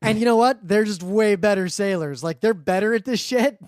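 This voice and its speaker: A man's voice: high voice, a little fast, a little mellow, no room sound, studio quality. A man co-hosting a podcast in casual geeky chat, explaining things in a rambling, enthusiastic way. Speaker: podcast co-host.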